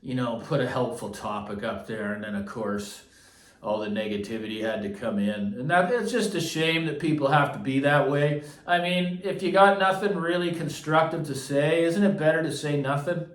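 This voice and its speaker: A man's voice: a little high, normal speed. A man from Canada giving a casual, unscripted gear review as an enthusiastic vlogger, stressing his points by repeating them. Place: echoey listening room. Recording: roomy echo, distant microphone.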